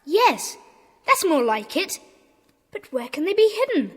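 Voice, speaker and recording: A woman's voice: high-pitched, sing-song, a little slow, fairly bright tone, heavily acted. A woman playing a bold, bossy, eager schoolboy detective in a children's audio drama. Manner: eager, urgent, exclamatory. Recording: long echo of a stone dungeon.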